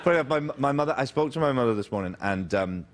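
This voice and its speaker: Animated Welsh man telling stories. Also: medium pitch, racing. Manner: animated, self-mocking, slips into silly voices. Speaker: guest actor. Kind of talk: late-night talk show interview.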